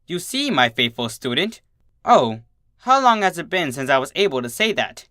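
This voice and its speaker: A woman's voice: deep voice, big pitch swings, moderate pace, a bit bright, no echo, clean studio sound. A woman voicing a regal, motherly pony princess in a cartoon fan story, gracious, cooing and playfully amused.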